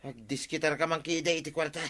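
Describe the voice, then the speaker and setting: A man's voice: fairly high pitch, fairly even intonation, fast, a bit bright, natural voice. A man with an Ilocano accent playing a boastful village gambler who teases his friend, in an Ilocano radio comedy drama.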